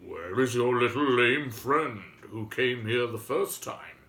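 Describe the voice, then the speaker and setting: A man voicing a huge, gruff but soft-hearted male giant in a storybook tale, slow and commanding. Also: medium pitch, expressive. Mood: wistful.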